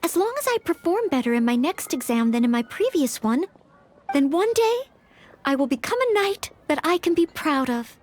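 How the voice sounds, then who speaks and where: A woman voicing a humble, polite young maid who dreams of knighthood in an anime-style video game, speaking with gentle earnestness and self-doubt. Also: high voice, sing-song, normal speed, slight background noise. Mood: earnest, resolute.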